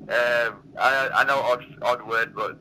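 A man's voice: mid-range voice, fairly even intonation, slow pace, bright, forceful tone, slight background noise, low-quality recording. A man of thirty with a Yorkshire accent, a fighter chatting as a guest, often repeating words as he restarts sentences. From England. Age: 30s. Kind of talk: casual phone interview.

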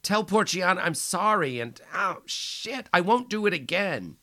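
A man voicing a young male hustler, his voice pushed high, strained and pleading. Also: expressive, unhurried, bright, forceful tone, heavily acted. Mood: pained pleading.

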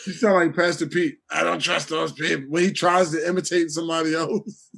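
Dude on a podcast, a bit high pitched, casual teasing banter. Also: male voice, flat delivery, a little fast, vocal fry, fairly bright tone. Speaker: podcast co-host.

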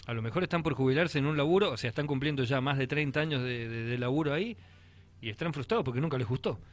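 An Argentine man of average pitch hosts a relaxed conversation. He speaks casually and hesitantly, often doubling words while he finds his point. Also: quick pace. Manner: hesitant, repeats words as he goes. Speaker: interviewer, host. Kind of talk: casual chat.

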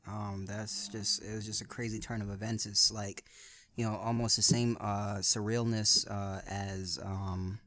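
A man co-hosting a podcast, speaking casually and reflectively in halting, measured short phrases. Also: lowish voice, little pitch movement, a bit bright, slight background noise. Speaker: podcast co-host.

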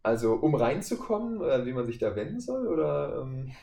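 A man's voice: mid-range voice, big pitch swings, moderate pace, creaky, mellow. Man in a roomy, distant recording. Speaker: guest, voice actor and audio drama maker. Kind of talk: casual podcast interview. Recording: roomy, distant mic, faint noise.

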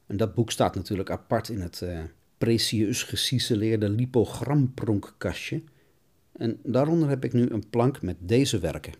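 A man's voice: fairly low pitch, unhurried, darkish tone. A man hosting a podcast, reading scripted narration in a wry, tongue-in-cheek way. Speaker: podcast host.

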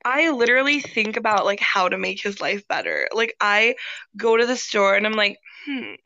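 A woman in her late twenties joining as co-host, playful and quick to get defensive, swearing a lot. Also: mid-range voice, big pitch swings, sharp tone, dull audio, a little hiss or hum. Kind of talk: casual chat.

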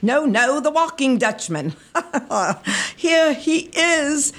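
A cantankerous but hearty old guardian aunt played by a woman from a comic gothic audio play. Her voice is high and unhurried, swooping widely as she teases and exclaims. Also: sing-song, a bit bright, full character voice. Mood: delighted, fussing. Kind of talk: gothic comedy audio drama.

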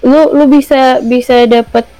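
A woman's voice: high voice, fairly even intonation, moderate pace, warm tone, faint music behind, low-quality recording. A young woman from Jakarta with a Jakarta accent, chatting casually and mixing in English phrases with lots of fillers. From Jakarta, Indonesia. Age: young adult. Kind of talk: casual chat.

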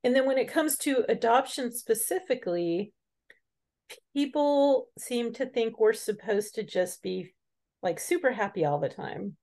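A woman hosting an interview, speaking in a measured, reflective, deliberate way. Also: on the high side, lively intonation, a little mellow. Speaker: interview host. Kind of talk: interview conversation.

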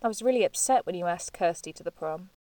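A woman's voice: lowish voice, expressive, fast, dark tone, natural voice. A woman with an English accent playing a defiant, sardonic young woman in an audio drama, blunt and dry in manner. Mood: hurt, wry. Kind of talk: naturalistic audio drama acting.

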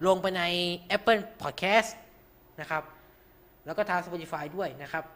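High-voiced man explaining step by step. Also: slow, fairly bright tone. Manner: frequent polite particles and check-back phrases, talking through each step. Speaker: radio show host. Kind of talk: informal step-by-step tutorial, explaining live.

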